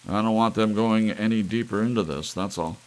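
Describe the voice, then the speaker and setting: A man's voice: lowish voice, fairly quick, pitched above the performer's own voice, lightly acted. A man voicing an uneasy, stubborn father in an audiobook story, terse and defensive. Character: uneasy, stubborn father at odds with his household. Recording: audible hiss with rain-like noise.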